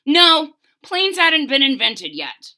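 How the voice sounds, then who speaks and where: A hearty, adventurous old grandpa telling his tale, as a woman reads him aloud from a storybook. The voice is bright, lively and swooping, and the telling is unhurried. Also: high-pitched, pitched above the performer's own voice, heavily acted.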